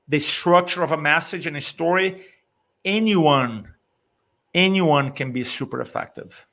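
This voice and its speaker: A man in his fifties from Brazil with a Brazilian Portuguese accent, a communication coach telling stories in a lively way and acting out his own reactions. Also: fairly high pitch. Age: 50s. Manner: animated storyteller, acts out his own reactions. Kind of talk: casual interview chat.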